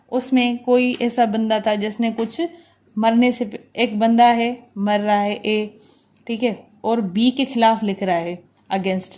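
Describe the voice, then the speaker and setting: A woman's voice: a little high, a little mellow. A woman lecturing on legal text, reading out each clause and then explaining it in a didactic way, often checking in. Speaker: law lecturer.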